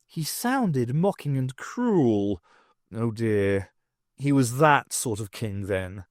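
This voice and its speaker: A man reading an audiobook aloud with an expressive, wry storytelling manner. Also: mid-range voice, takes it slowly, dry recording, studio quality. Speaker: audiobook reader. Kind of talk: audiobook narration.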